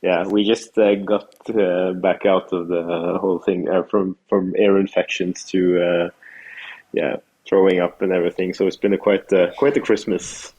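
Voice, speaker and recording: Deep-voiced Norwegian man chatting. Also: flat delivery, normal speed, darkish tone, slightly rough, highs cut off. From Norway. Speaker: podcast guest. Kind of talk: casual chat.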